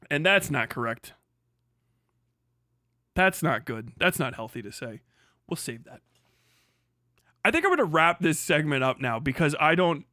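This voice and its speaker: Bright-voiced sardonic streamer guy. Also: on the high side, smooth, no echo, studio quality. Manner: sardonic, swearing, laughing often, incredulous outbursts. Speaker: streamer host. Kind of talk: casual live-stream commentary.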